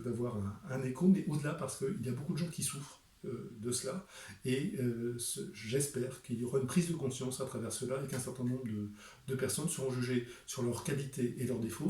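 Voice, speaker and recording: A man's voice: medium pitch, dark tone, reverberant, recorded from a distance. A middle-aged man presenting his book to camera, speaking emphatically and rhetorically with frequent hesitations.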